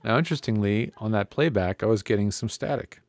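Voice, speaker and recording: A man's voice: on the low side, a little fast, a little mellow, faint music behind. A man presenting a casual hands-on audio gear tutorial, thinking aloud in a conversational way on a close studio microphone. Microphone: close studio podcast microphone.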